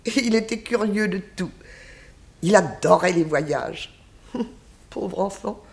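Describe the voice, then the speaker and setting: An actress in a 1960s French radio detective drama playing a kindly, talkative old former nanny, with a homely, fondly reminiscing manner. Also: female voice, mid-range voice, lively intonation, slow, vocal fry. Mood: fond, wistful. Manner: homely, reminiscing, sighing exclamations.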